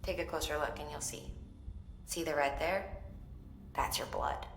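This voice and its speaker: Easygoing, low-voiced female engineer. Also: moderate pace, echoey room, slight background noise. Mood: explaining, frank. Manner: casual, relaxed phrasing. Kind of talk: video game acting.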